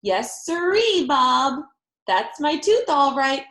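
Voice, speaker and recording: A woman voicing an excitable, talkative little girl from a storybook, bubbly and wide-eyed, with emphatic, exclamatory childlike delivery. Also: high voice, takes it slowly, roomy sound, pitched above the performer's own voice, exaggerated voice. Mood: delighted, proud.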